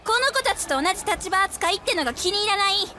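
An actress playing a childish, possessive high school girl in a radio drama, petulant and demanding, given to repeating her words in tantrums. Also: expressive, fast talker, background noise. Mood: sulky, hurt. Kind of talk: Japanese radio drama acting.

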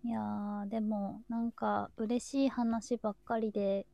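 Casual woman host with a creaky, mellow voice. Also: medium pitch, little pitch movement, measured pace, no echo. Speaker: host of a live chat show. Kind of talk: casual chat.

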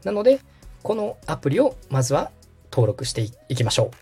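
A man's voice: a little high, sing-song, fairly quick, creaky, a little mellow, breathy edge, soft background music. A man hosting a step-by-step tutorial, explaining things politely and guiding the listener along. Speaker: podcast host and tutorial instructor, radio director.

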